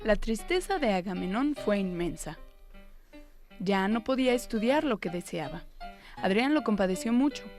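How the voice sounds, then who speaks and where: Woman, gravelly fry, mid pitch, expressive story narration, faint music. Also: moderate pace.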